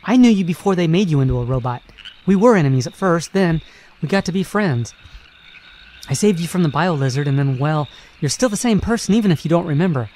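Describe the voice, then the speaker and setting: A woman's voice: sits low, animated, brisk, mellow. A woman voicing a cocky, loyal young male hedgehog hero with a flippant, wisecracking manner in an amateur radio drama. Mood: earnest. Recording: noisy amateur recording.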